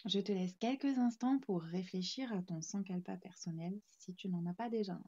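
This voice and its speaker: A woman guiding a yoga nidra relaxation, speaking in calm, soothing, gently instructing short phrases. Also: mid-range voice, normal speed, lo-fi sound. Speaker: yoga nidra meditation guide. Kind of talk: guided relaxation meditation narration.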